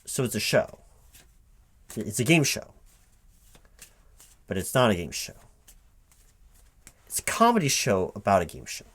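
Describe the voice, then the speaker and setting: A man with a fairly low voice plays a recruiting manager in a one-sided ASMR roleplay. He speaks aloud without whispering, haltingly, often stammering and repeating words as if thinking through each reply. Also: big pitch swings, a bit bright, breathy edge. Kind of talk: one-sided interview roleplay, soft-spoken ASMR at normal voice.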